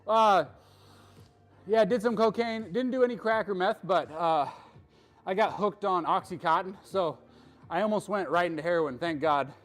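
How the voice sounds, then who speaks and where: A man's voice: sits high, moderate pace, a bit bright. A man hosting a live workout stream, chatting with viewers between lifts in a joking, hyped-up coaching way. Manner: hyped, joking, bro-style coaching talk.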